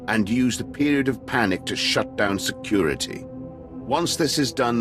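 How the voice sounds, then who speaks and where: An actor playing a stern, patriotic military commander in a video game, speaking in a formal, pompous and deferential briefing manner. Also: male voice, lowish voice. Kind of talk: scripted game cutscene acting.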